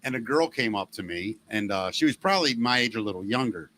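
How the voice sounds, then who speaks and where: A middle-aged man hosting a casual podcast, a former radio DJ with a loud, gravelly former smoker's voice who tells stories and acts out the voices he quotes. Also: fairly low pitch, animated, fast talker, slight background noise. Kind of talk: casual chat.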